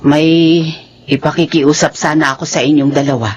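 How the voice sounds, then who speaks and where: An actress playing a caring middle-aged mother in a radio drama, fussing and gently coaxing. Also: deep voice, steady tone, normal speed, a little hiss or hum, natural voice. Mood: pleading. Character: caring, pleading mother figure of the household.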